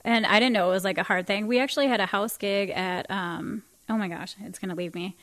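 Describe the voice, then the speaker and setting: Mid-pitched female singer as guest, warm-hearted rambler, quick to laugh. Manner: friendly, laughs easily, rambling. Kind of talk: casual interview chat.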